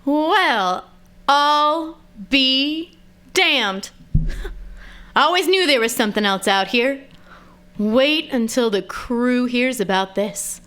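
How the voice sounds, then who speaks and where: Lady playing a space admiral in an audio drama, authoritative, formal, takes her time, higher pitched. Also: animated, bright, forceful tone, clear, resonant tone, exaggerated voice. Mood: amazed. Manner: measured, authoritative. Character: composed commanding space admiral, formal and dryly cautious.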